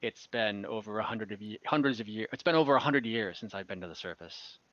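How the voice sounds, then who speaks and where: A man acts out an old kobold who survived slavery and trusts nobody. He weighs his words haltingly and questions with quiet suspicion. The sound is dry and slightly dull, like a close mic on an online game. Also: fairly low pitch, no room sound, natural voice. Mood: wary, questioning.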